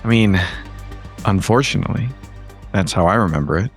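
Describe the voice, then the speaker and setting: A man's voice: deep voice, fairly quick. A man recapping a tabletop game in a casual, comedic way, with a droll, wordy delivery. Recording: music under the voice.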